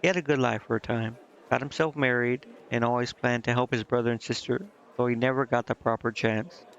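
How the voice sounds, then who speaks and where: A man's voice: medium pitch, fairly even intonation, fairly quick. A man doing casual live game commentary, informally thinking aloud and reading dialogue out as he goes. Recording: dull, band-limited sound with music. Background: game music.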